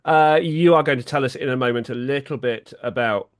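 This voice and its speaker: Man, podcast host, mid pitch, presenting and interviewing. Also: brisk. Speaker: podcast host, folklore researcher. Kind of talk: introduction and interview.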